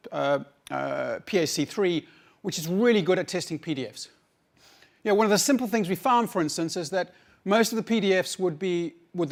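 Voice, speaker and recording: A man with a South African accent giving a conference talk, haltingly, often repeating words as he searches for the next phrase. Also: fairly high pitch. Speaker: conference speaker, academic researcher.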